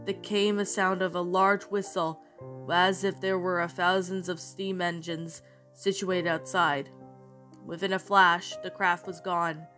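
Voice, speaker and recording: A woman's voice: lowish voice, little pitch movement, unhurried, a bit bright, faint music behind. A woman with a bad cold reading a creepy story, then chatting about it in a rambling way with many fillers. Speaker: creepypasta narrator. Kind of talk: storytelling narration then casual review.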